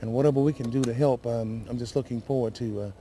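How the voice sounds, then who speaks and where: A shaken, weary man speaking haltingly and earnestly in a live news interview, often repeating himself. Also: medium pitch, steady tone, dark tone, a little hiss or hum. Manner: halting, repetitive, emotional and earnest. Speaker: city councilman giving interview.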